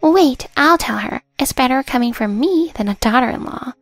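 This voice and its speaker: Woman putting on a shrill, entitled sister-in-law. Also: animated, moderate pace, no room sound, soft background music. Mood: bossy, smug. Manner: bossy, smug, later shrill and pleading. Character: pushy entitled middle-aged woman, scheming sister-in-law.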